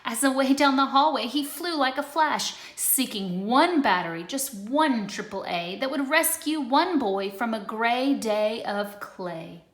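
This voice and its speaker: A woman teacher reading a rhyming picture book aloud, expressive, moving between loud lines and hushed ones. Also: fairly high pitch, unhurried, few pauses. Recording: roomy echo.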